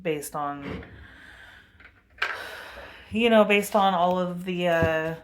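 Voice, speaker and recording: A woman vlogging a rambling spiritual monologue to her camera, meandering with many fillers and pauses, with a commanding voice. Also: lowish voice, a little hiss or hum. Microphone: webcam.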